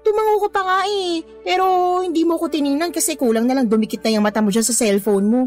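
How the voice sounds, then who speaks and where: A young live-in girlfriend in a radio drama, voiced by a woman who scolds and talks back. She has a high voice. Soft music plays faintly behind her. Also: quick pace, natural voice. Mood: scolding. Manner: scolding, exasperated retorts. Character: patient but fed-up young live-in girlfriend.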